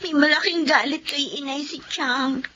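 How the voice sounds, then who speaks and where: A woman's voice: high-pitched, normal speed, bright, forceful tone. An actress with a Filipino accent playing a meek, mistreated young orphan girl in a radio drama, pleading and deferential. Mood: grateful, sad. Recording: dull lo-fi audio with background noise.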